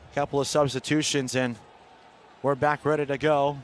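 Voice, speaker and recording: A man's voice: mid-range voice, flat delivery, moderate pace, a little hiss or hum. A man calling live college football on the radio as play-by-play announcer, in clipped descriptive phrases that swell on big plays.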